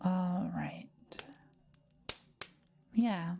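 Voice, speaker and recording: Woman as a gentle witch, mellow and low-voiced. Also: gravelly, slight background noise, exaggerated voice. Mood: gentle, considering. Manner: gentle, measured, explanatory. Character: kind, soft-spoken forest witch, calm and scholarly. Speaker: audio roleplay performer. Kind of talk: intimate audio roleplay, conversational.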